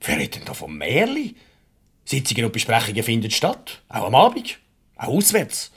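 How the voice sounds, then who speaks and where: An actor with a Swiss German accent playing an awkward, patronising middle-aged married boss in a radio comedy, hemming and ingratiating. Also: male voice, medium pitch, huge pitch swings, normal speed, fairly bright tone, slightly rough, heavily acted. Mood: defensive, pleading. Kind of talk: light radio comedy play acting.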